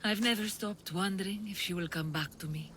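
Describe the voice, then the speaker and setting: An actress playing an earnest older mother and refugee leader in a video game, measured and wistful. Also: medium pitch, fairly bright tone, slight background noise, lightly acted. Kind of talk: video game cutscene acting.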